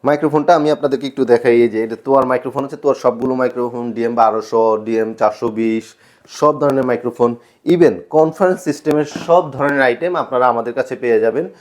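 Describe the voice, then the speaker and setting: Man, Bangladeshi accent, medium pitch, informal shop presenter, explanatory sales talk, repeats key points. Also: quick pace, darkish tone. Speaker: shop presenter selling sound equipment. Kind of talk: informal product showcase to viewers.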